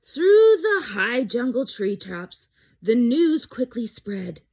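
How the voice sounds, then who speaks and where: A woman's voice: a little high, sing-song, takes it slowly, darkish tone. A woman reading a children's picture book aloud expressively and chatting to young listeners about it. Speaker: storyteller.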